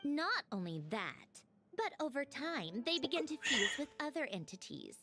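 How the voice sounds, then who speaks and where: An actress playing a calm, confident, faintly smug female agent in a game cutscene. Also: high voice, normal speed, slight background noise, exaggerated voice. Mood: explaining. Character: cool, self-assured female Fatui agent. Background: game music.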